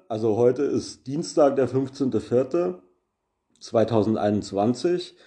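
A man's voice: mid-range voice, dark tone. A man hosting a solo podcast, explaining a news topic carefully with many hesitations and filler sounds. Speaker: podcast host.

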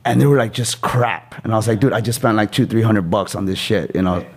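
A man's voice: on the low side, fast talker, slightly rough. A man in his thirties hosting a podcast interview in a casual, motivational style, with informal, rambling talk and some swearing. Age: 30s. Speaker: podcast host.

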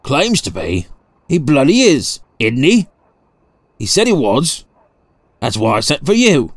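Gruff constable, played by a male narrator, blunt, tense, colloquial, lower-spoken, storybook dialogue, dry close sound. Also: wildly swooping pitch, measured pace, no echo. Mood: indignant. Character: powerfully built, tense, gruff police constable.

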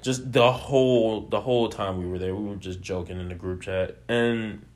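A man's voice: fairly low pitch, normal speed. A man in casual chat, teasing and laughing often, his voice a bit nasal and sniffly from allergies.

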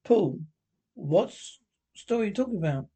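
A husky-voiced reader playing a worried husband who speaks in a plain, reassuring way. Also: medium pitch, expressive, normal speed, mellow. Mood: concerned. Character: worried husband searching for his wife.

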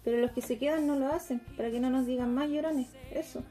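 A woman's voice: sits high, fairly even intonation, quick pace, dark tone, faint music behind, natural voice. A young Chilean woman acting a troubled, plain-spoken girl in a radio theatre piece. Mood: subdued, frank. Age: young adult. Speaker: student performer.

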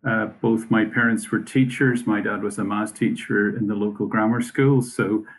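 A man from Northern Ireland with a Northern Irish accent, a guest telling his story in a measured, matter-of-fact way over a video call. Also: fairly low pitch, fairly even intonation, a little hiss or hum. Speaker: mission worker, guest. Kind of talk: conversational interview answers.

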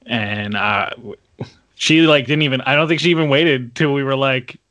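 A man's voice: mid-range voice, moderate pace, a bit bright, no room sound. A man chatting casually on a podcast, telling a laid-back story and laughing often.

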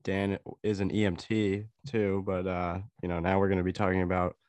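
A young man hosting a podcast, sounding congested from a cold, chatty and relaxed, laughing often. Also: low voice, normal speed, clear, resonant tone, dry recording. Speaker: podcast host. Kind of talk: casual podcast chat.

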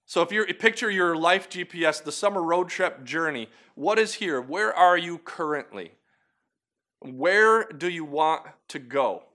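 Bright-voiced earnest male devotional host. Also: fairly high pitch, lively intonation, unhurried. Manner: earnest, encouraging, conversational with asides. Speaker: host of a weekly video devotional. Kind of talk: short devotional talk to camera.

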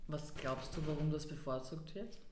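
A woman's voice: sits low, normal speed, a little mellow, reverberant, slight background noise. A woman co-hosting a casual podcast, introducing guests in a studio. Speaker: podcast co-host.

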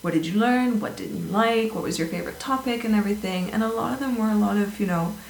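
A woman chatting casually as a guest, telling animated stories about her teaching days and laughing easily. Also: mid-range voice, fast talker, creaky. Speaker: guest, former English teacher. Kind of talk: casual conversational storytelling. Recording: echoey room with faint noise.

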